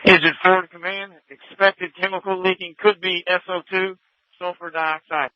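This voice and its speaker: A man's voice: high-pitched, little pitch movement, moderate pace, no room sound, highs cut off. A man reporting from an emergency scene as a fire officer over two-way radio, businesslike and urgent. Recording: band-limited emergency scanner radio.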